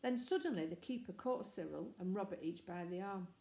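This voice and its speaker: Woman, storybook reader, scripted narration, soft dark tone, reverberant room. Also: fairly low pitch, fairly quick, vocal fry. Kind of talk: scripted narration of a children's novel.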